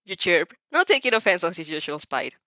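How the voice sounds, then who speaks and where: A woman reading a story aloud in an expressive, amused way, sometimes stumbling and rereading phrases. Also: on the low side, a little fast, no room sound, highs cut off. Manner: giggly, stumbles over phrases and rereads them. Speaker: fan fiction reader reacting as she reads.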